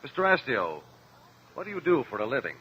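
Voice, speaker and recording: An actor playing a composed attorney in a radio courtroom drama, questioning witnesses in a measured, persistent way. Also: male voice, mid-range voice, wildly swooping pitch. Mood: insistent. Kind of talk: old-time radio courtroom drama acting. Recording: dull, noisy old radio recording.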